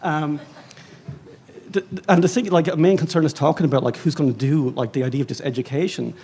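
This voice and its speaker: An Irish man speaking as a librarian on a conference panel, rambling and animated, with many fillers and self-mocking asides. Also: a little high, a little fast. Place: conference stage.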